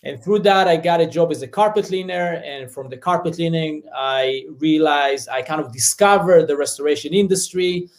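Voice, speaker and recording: A man from Israel with an Israeli accent, a business founder telling his story as an interview guest in a relaxed, conversational way. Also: a little high, normal speed, hardly any pauses.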